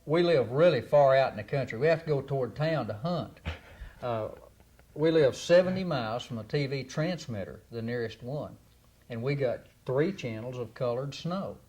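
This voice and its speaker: A man's voice: mid-range voice, moderate pace, a little mellow. A man from Texas with a Texan accent, a folksy guest chatting and telling stories in an interview. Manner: folksy storyteller, laughs easily. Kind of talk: casual interview chat.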